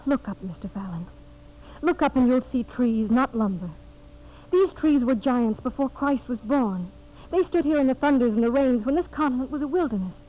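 A woman's voice: high voice, animated, moderate pace. A woman playing an earnest, idealistic young American woman, solemn and pleading, in 1950s radio drama style. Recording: muffled, noisy 1950s radio recording.

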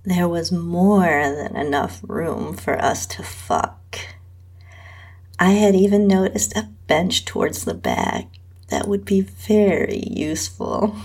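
A woman's voice: on the low side, big pitch swings, slow, darkish tone, husky. A woman host narrating an intimate first-person erotic story.

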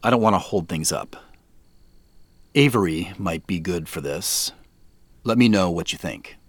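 An actor playing a tired businessman in an audio drama, dictating text messages in a measured, naturalistic way with pauses. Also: low voice, lively intonation, heavily acted. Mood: businesslike.

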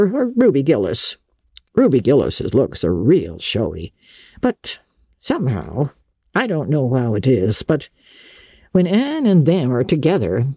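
A woman's voice: rumbling low, warm tone, breathy edge, no room sound, clean studio sound, heavily acted. A woman voicing a blunt, opinionated older country woman with folksy, emphatic speech in a storybook reading.

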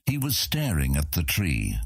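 Very deep, husky male narrator. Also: wildly swooping pitch, a little slow, dry recording, studio quality. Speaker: audiobook narrator. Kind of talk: dramatic scripted fantasy narration.

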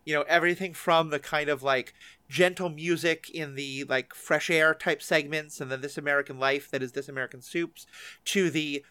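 A man's voice: a little high, steady tone, normal speed, pushed and bright, smooth, no echo. A man hosting a casual interview podcast, talking enthusiastically in rambling questions. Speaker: podcast host interviewing guests.

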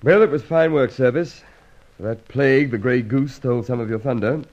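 An actor playing an authoritative, easily exasperated police inspector in an old radio serial, brisk and used to giving orders. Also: male voice, mid-range voice, a little mellow, lightly acted. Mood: dry, exasperated. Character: gruff, commanding male police inspector, exasperated by his quarry. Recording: dull, lo-fi old radio recording.

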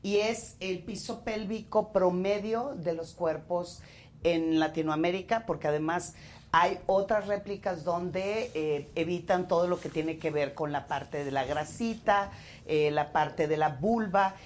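Female guest presenter, friendly explainer, lower voice, faint background noise. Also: measured pace, a little mellow. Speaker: guest presenter on sexual health. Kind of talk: explanatory talk-show segment.

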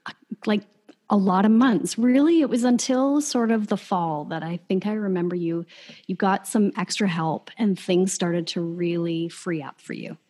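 A woman in her thirties co-hosting a podcast, chatting in a warm, reflective and earnest way. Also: medium pitch, normal speed. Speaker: podcast co-host. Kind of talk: casual podcast chat.

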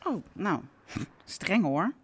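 Sarcastic daughter, animated voice. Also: female voice, on the low side, very slow, a little hiss or hum, exaggerated voice. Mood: probing, teasing. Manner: ironic, needling, conversational. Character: sarcastic, probing grown daughter. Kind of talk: naturalistic radio drama acting.

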